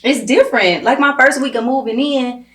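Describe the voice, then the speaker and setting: A woman with an American accent chatting casually as an interviewer, animated and slangy, repeating phrases for emphasis. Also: high-pitched, normal speed. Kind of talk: casual chat. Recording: roomy echo.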